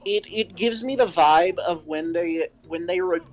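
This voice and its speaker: Rambling, halting co-host over background music. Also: mid-range voice. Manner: rambling, halting, animated joking. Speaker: co-host of a fan fiction reading show. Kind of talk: casual chat, critique. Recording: music playing underneath.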